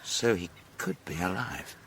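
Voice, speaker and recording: A modest, sharp-eyed British private detective for radio drama. His voice is middling in pitch, bright and expressive, with polite hesitation and dry understatement over faint background noise. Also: unhurried, creaky, full character voice. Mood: thoughtful. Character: observant, modest English private detective on holiday.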